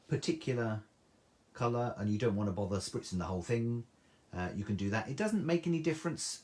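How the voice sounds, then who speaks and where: A man's voice: medium pitch, a little mellow. A man with a soothing voice, chatting through a live craft tutorial and reading out viewer comments. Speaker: craft demonstrator.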